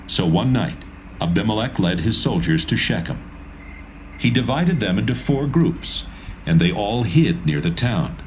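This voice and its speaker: A man narrating a dramatised Bible reading in a steady, measured way. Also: on the low side, a little hiss or hum, rough recording. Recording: narration over music.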